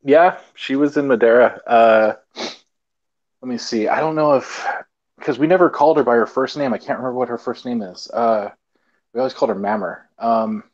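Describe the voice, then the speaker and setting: A man chatting as a guest on an online show, hesitant and searching for words with fillers. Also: mid-range voice. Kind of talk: casual online chat. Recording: dull lo-fi online call audio.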